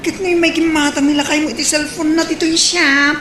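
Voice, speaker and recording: A woman's voice: sits high, little pitch movement, fairly quick, fairly bright tone. A woman playing a teasing, complaining neighbor in a comedy drama, with an Ilocano accent. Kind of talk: comedy radio-style drama acting. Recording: roomy echo, faint background noise.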